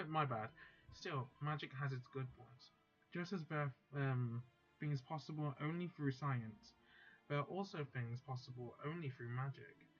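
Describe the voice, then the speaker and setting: A man casually reading a visual novel's text aloud, steady but stumbling and correcting himself now and then. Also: mid-range voice, dull audio. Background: faint background music.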